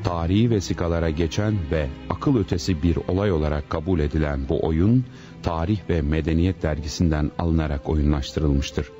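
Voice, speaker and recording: A man's voice: extremely low pitch, mellow. A man reading a formal closing narration for a radio play. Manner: formal, measured. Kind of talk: scripted narration. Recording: dull lo-fi recording.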